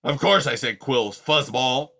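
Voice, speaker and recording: A man's voice: medium pitch, unhurried, vocal fry, sharp tone, full character voice. A man voicing a grumpy, scornful old male porcupine who growls and insults, in a storybook reading. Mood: scornful gruff.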